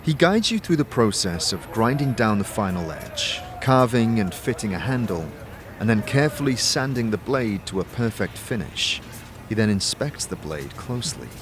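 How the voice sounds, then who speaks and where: A man's voice: lowish voice, big pitch swings, moderate pace. A man narrating a fantasy audio drama and playing its wry adventurer, in a dramatic scripted style. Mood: storytelling. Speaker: game-master narrator and player character. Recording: faint background noise.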